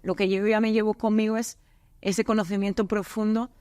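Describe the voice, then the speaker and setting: A woman from Spain, a business unit director, talks about her work as a guest on a podcast. She sounds friendly and candid. Her voice sits at a middle pitch, and the studio sound is dry and close. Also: little pitch movement, moderate pace, darkish tone, clear, resonant tone, dry recording. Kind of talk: casual interview chat.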